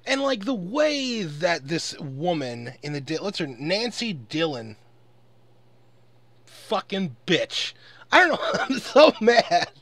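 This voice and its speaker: A man's voice: high voice, big pitch swings, slow, fairly bright tone, no room sound. A man delivering an angry, off-the-cuff rant in a profane, exasperated and emphatic way. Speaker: online commentator recording an audio log.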